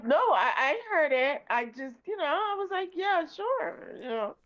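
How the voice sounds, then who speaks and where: A woman with a somewhat high voice chats on a stream and reacts quickly, often amused. Her sound is a little dull and muffled. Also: big pitch swings, fairly bright tone. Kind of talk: casual stream chat.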